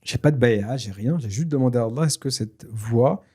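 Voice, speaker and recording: A man whose first language is Tashelhit, telling a personal story in a casual, lively way with many fillers and restarts. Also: mid-range voice, fairly quick, breathy edge. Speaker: guest telling his story. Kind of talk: casual spoken testimony.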